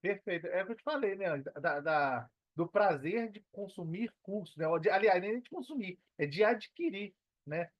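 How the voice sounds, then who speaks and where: A man's voice: high voice, animated, moderate pace, hardly any pauses, no room sound, lo-fi sound. A Brazilian man talking casually as an interview guest, using slang and repeating phrases for emphasis. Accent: Brazilian Portuguese. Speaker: podcast guest, digital marketer.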